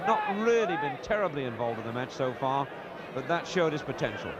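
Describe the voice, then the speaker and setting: Male football commentator, terse and clipped, dull vintage broadcast sound, loud crowd. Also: mid-range voice, very animated, brisk, very noisy. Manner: terse, clipped naming of players. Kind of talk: live football match commentary, 1970s television. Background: loud stadium crowd.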